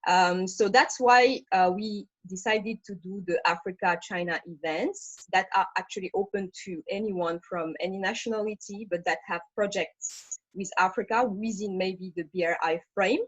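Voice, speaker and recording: A woman's voice: mid-range voice, normal speed, no room sound. A woman from Senegal speaking as a panelist in a webinar, talking spontaneously with hesitations and fillers. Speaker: webinar panelist, managing director. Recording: dull, dry video-call audio.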